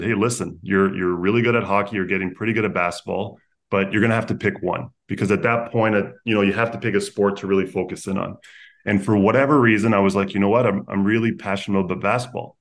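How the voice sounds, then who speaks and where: Upbeat lower-voiced man telling stories. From Canada. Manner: upbeat, talks in long run-on stories. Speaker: podcast guest, food-tech founder. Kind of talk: conversational storytelling.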